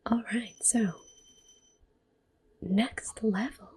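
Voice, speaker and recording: A woman whispering soft-spoken commentary while she plays a video game for an ASMR audience, close to the microphone. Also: on the high side, steady tone, very slow, breathy edge. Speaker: ASMR gaming host.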